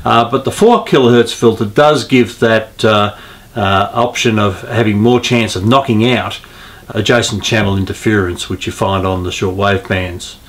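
Man, 50s, hobbyist tutorial host, lowish voice, informal and halting delivery. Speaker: host of an amateur radio hobby video. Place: small indoor radio room at home.

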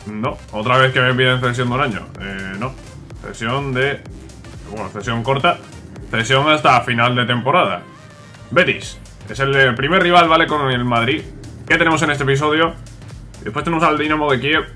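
A man's voice: medium pitch, moderate pace, pushed and bright, soft background music. A man commenting on a football game while he has a sore throat, chatty and grumbling, repeating himself. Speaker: gaming YouTuber.

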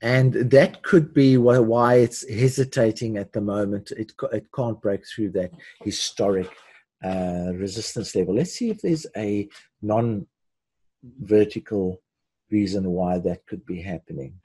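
An older man hosting a live webinar, giving unscripted commentary in a halting way with repeated words and restarts, his voice husky. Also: fairly low pitch, mellow, dry recording. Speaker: webinar host teaching forex chart analysis.

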